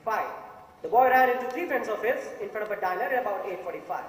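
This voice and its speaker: A young man acts a methodical juror in a stage play, arguing emphatically. His speech is quick and rings through a big echoing hall, far from the microphone. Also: sits high, animated. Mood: insistent, certain. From India. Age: young adult. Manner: emphatic, point-by-point recital. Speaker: stage actor in a college play. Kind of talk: theatrical courtroom-drama dialogue. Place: theatre stage before an audience.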